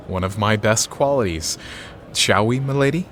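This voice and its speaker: A man's voice: lowish voice, slow, fairly bright tone, slight background noise. A man playing a charming, slightly nervous date in an audio drama, playful and self-deprecating. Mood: playful, apologetic.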